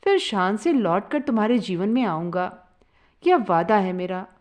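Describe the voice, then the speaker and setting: A woman's voice: medium pitch, big pitch swings, a little slow, darkish tone. A woman voicing an anxious but determined young man in love in a dramatic story, earnest and emphatic.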